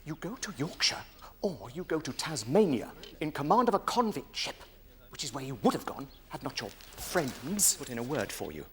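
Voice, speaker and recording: Haughty military superior, clipped, peremptory, fairly high voice, animated pitch, period drama. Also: male voice, moderate pace. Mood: threatening. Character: imperious, disdainful British senior army official.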